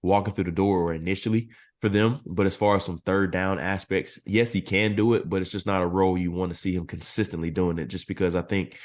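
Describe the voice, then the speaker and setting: A man analyzing football draft picks as a podcast guest, his voice a little hoarse and clearing his throat now and then. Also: deep voice, brisk, hardly any pauses. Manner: steady analytical explaining. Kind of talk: casual podcast interview.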